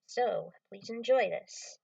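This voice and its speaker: A woman casually introducing her own fan fiction in a halting, phrase-by-phrase way. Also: fairly high pitch, gravelly, a little mellow, dry recording, far from the mic, highs cut off.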